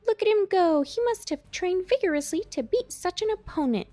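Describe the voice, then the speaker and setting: Woman voicing an eager squeaky-high little chick. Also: animated, normal speed, warm tone, soft background music. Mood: egging on. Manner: eager, exclaiming, questioning. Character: excitable young male chick, adoring, eager hero-worshipper.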